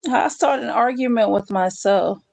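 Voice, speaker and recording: A woman guest on a live stream panel, sounding hoarse and defensive, stumbling over restarts as she argues back. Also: fairly high pitch, moderate pace, a little mellow, no echo. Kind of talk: heated live stream argument.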